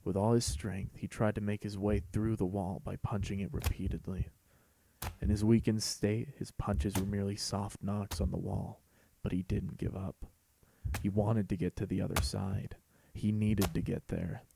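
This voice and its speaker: Low, gravelly male story narrator. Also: little pitch movement, moderate pace, warm tone, a little hiss or hum. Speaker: host and narrator of a fan fiction podcast.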